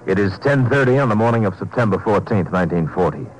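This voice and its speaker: A man's voice: lowish voice, lively intonation, fast, lightly acted, low-quality recording. A man with an American accent narrating a scripted old-time radio drama.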